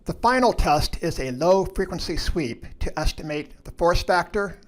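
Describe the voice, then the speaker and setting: Man narrating instructions, slightly higher-pitched voice. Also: expressive, moderate pace.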